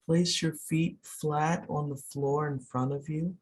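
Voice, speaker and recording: A person leading a guided meditation in a soothing, unhurried way, pausing between short phrases. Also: mid-range voice, fairly even intonation, a little hiss or hum, low-quality recording. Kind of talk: guided meditation, slow instructions.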